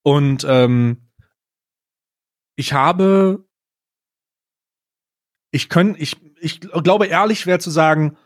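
A man chatting casually as a podcast co-host, deliberate and mock-serious in how he puts things. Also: on the high side. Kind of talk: casual chat.

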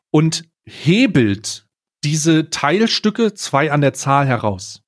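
A man telling anecdotes casually as a podcast co-host, blunt and slangy, dropping in bits of English. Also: a little high.

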